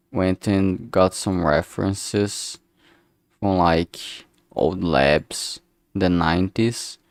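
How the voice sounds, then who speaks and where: A man with a lowish, gravelly voice talks casually to a livestream while he works. He rambles and thinks aloud in halting bits, taking his time. The sound is close and dry. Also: no echo. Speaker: livestreamer making a visual novel. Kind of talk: casual work-along livestream chat.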